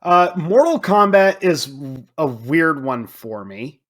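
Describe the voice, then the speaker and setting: A man's voice: a little high, expressive, slow, a bit bright. A man co-hosting a film podcast, talking casually and swearing freely as he acts out his own reactions. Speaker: podcast co-host.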